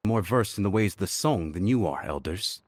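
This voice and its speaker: A young, quietly confident diplomat in a dramatic game. He is articulate and deliberate, with a low-set voice that moves expressively. The sound is dry. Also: expressive, no echo. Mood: confident, persuasive.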